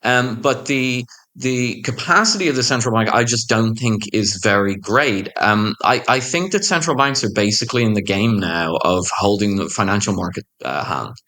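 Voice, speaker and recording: A man with a lowish voice makes his case on a panel, blunt and sure of himself. He pushes his points hard. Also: lively intonation, normal speed, pushed and bright. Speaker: panel guest, economist. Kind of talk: panel discussion.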